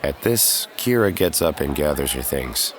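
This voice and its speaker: A man's voice: rumbling low, lively intonation, moderate pace, a faint crowd, slight background noise. A man giving scripted first-person narration for an audio drama. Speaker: narrator and lead actor.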